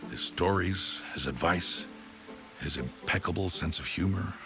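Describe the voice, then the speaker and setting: A man playing a wry older mentor who narrates an animated game in a measured, dry way. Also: low-pitched, music in the background. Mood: dryly wry.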